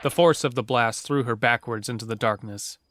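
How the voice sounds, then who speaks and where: A man's voice: medium pitch, fairly even intonation, normal speed, a bit bright, no echo, clean studio sound. A man reading scripted narration for a horror audio drama, as a storyteller. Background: music under the narration.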